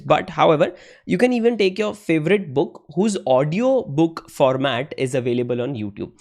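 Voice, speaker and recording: A man's voice: on the high side, darkish tone. A young man giving a casual spoken tutorial, talking conversationally with frequent "right?" tags and self-corrections. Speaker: English-learning YouTuber.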